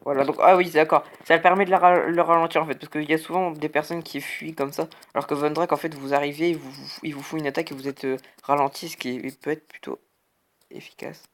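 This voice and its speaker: A man's voice: fairly high pitch, fairly even intonation, fast, a bit bright. A young man who has a cold, giving rambling casual commentary over a video game. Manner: rambling, full of "hop", "bam", "tac" sound words. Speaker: gaming YouTuber.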